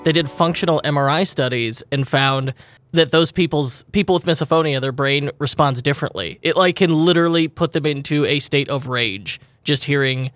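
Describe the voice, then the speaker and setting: A man's voice: a little high, normal speed, fairly bright tone, no echo, soft background music. Rambling, laughing male podcast host. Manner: rambling, laughs often, self-correcting. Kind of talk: casual chat.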